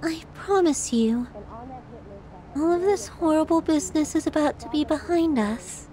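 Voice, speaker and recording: A woman's voice: high voice, measured pace, warm tone. A woman acting a possessive, vulnerable and menacing young yandere who dotes intimately on a silent lover in an ASMR roleplay audio drama. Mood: tender, confessional.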